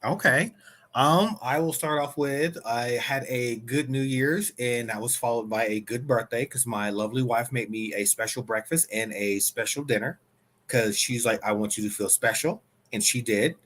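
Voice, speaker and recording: Panelist: man, plain-spoken, middle pitch. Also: moderate pace, a bit bright. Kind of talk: casual chat.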